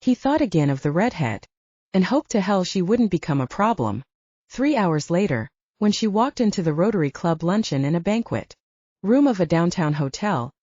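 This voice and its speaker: A woman's voice: fairly low pitch, dark tone, no echo. A woman reading a scripted story as an audiobook narrator, in slightly dull audio.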